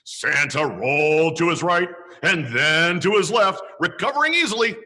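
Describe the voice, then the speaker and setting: A man dressed as Santa, reading a children's story aloud slowly and expressively. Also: long reverb tail. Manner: slow, highly expressive.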